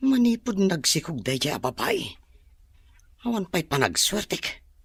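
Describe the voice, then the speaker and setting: A man's voice: a little high, very animated, a little slow, slightly rough, no echo, full character voice. An actor playing a frustrated, complaining man who grumbles about bad luck in a radio drama, with music behind him. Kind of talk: Ilocano radio drama acting.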